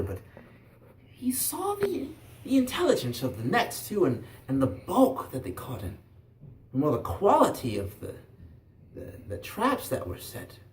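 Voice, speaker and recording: A man improvising a folk tale as narrator, with dramatic, halting pauses. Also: medium pitch. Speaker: storyteller. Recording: roomy, echoey, distant mic, faint noise.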